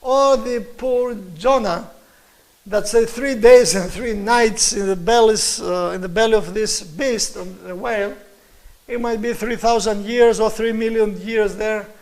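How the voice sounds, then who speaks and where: A middle-aged man with a Greek accent giving a religious lecture on scripture in halting English, emphatically repeating key words. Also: sits high, fairly even intonation, low-quality recording. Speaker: Bible teacher giving a presentation.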